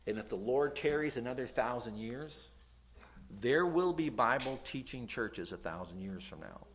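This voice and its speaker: A man with a nasal voice preaching a sermon, reading scripture aloud and swinging between loud emphasis and quiet asides, with an occasional stutter. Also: mid-range voice, lively intonation, moderate pace, a little hiss or hum, rough recording. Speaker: pastor.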